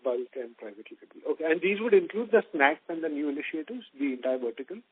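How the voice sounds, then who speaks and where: A man's voice: fairly high pitch, animated, fast. A man asking questions as an analyst on an earnings call, polite and tentative. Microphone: telephone conference call. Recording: thin phone line.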